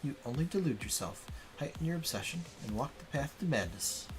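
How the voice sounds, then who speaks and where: A man's voice: medium pitch, lively intonation, a little fast, close to an everyday voice, poor audio. A man playing a solemn noble lady over music. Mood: stern. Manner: formal, solemn. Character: stern, righteous noble lady leader. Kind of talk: dramatic visual novel read-aloud. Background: game music.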